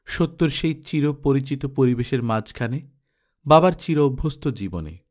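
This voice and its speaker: A man's voice: medium pitch, lively intonation, measured pace. A man narrating a literary story as an audiobook reader, with dramatic scripted delivery.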